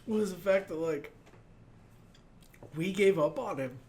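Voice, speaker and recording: High-voiced young man, stuffed up from crying. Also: a little slow, a little mellow. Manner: rambling, fills talk with "like". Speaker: anime reaction host. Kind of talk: emotional casual reaction chat. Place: small home room with shelves of figures.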